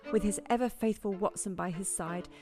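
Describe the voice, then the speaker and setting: A woman's voice: medium pitch, fairly quick, lightly acted. A woman playing the narrator of a comic radio drama, reading a dramatic scripted recap. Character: announcer-style story narrator, dramatic. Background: loud music.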